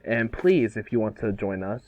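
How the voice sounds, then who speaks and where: A man's voice: on the low side, animated, moderate pace, phone-quality sound. A man chatting casually as the host of an online table read. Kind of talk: casual chat.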